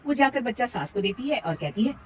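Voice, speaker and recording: Lady narrator for a cartoon moral story, higher voice, noisy background. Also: sing-song, a little fast, darkish tone.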